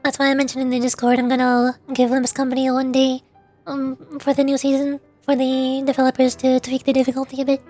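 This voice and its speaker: A woman's voice: sits high, completely flat delivery, fast, warm tone. A woman chatting casually on a livestream as a VTuber game streamer, in a giggly, rambling way. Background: faint music.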